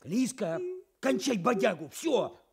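A woman playing a brash young village lad in a radio drama, shushing, wheedling and roughly teasing. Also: mid-range voice, animated, slow pace, a little mellow, full character voice. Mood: wheedling, mocking. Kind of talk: Soviet radio drama acting.

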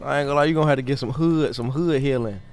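A man livestreaming a roleplay game, commenting excitedly in boastful, slangy, profane casual talk. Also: mid-range voice, racing. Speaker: live game streamer.

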